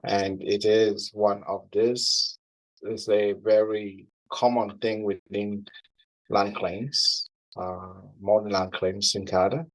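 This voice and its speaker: A man's voice: lowish voice, little pitch movement, takes it slowly, rough recording. A man from Cameroon, giving a formal, earnest talk to a class as a guest speaker on wildlife management.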